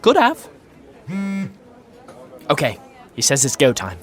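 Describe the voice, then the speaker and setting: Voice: medium pitch, wildly swooping pitch, takes it slowly. An actor playing a goofy, theatrical young prince with a playful, grandiose manner in a romantic comedy audio drama. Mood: playful, bickering.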